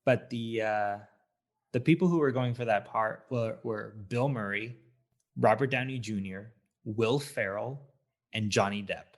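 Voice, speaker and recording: A man co-hosting a podcast, reviewing films in casual, halting talk built from short fragments. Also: fairly low pitch. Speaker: podcast co-host. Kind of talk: casual film review chat.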